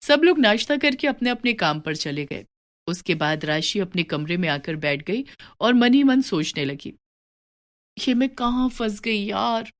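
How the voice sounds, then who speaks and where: A woman's voice: lowish voice, very animated, moderate pace. A woman reading scripted moral story narration as a storyteller.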